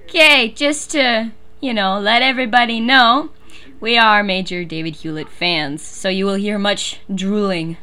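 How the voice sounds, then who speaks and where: American gal hosting a fan podcast, giggly and eager, crisp bright tone. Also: female voice, medium pitch, unhurried. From USA. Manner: giggly, enthusiastic. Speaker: podcast host. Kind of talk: casual fan commentary chat.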